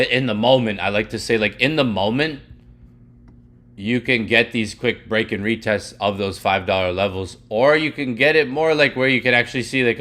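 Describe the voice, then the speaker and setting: A young man teaching live, walking through a price chart in casual, rambling talk full of "like" and "literally", his voice going hoarse and his throat needing clearing. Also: lowish voice, sharp tone. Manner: rambling, heavy filler "like" and "literally". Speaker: trading educator.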